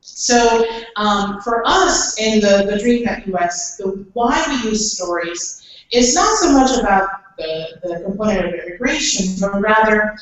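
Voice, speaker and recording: A woman from Ecuador giving an earnest orientation talk to new scholarship recipients, with frequent pauses and "um"s. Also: mid-range voice. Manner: earnest, plain-spoken, many "um"s. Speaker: scholarship program staff. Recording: echoey hall, speaker far from mic.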